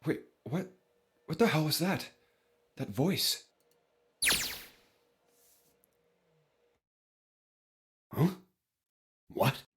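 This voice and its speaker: A man's voice: a little high, big pitch swings, takes it slowly. An actor playing a bewildered young everyman with a hesitant, muttering delivery in a visual novel game.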